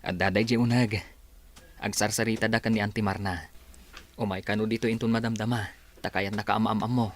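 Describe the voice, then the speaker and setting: A man's voice: lowish voice, level tone, brisk, close to an everyday voice. A man acting a friendly, jovial, encouraging married village man in an Ilocano radio comedy-drama, with an Ilocano accent.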